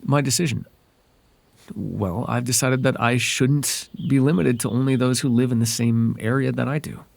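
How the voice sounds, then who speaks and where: A man voicing a whimsical, softly possessive male fairy in an intimate, hesitant, conversational ASMR roleplay. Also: medium pitch, steady tone, moderate pace, vocal fry, no echo, natural voice. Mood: smitten.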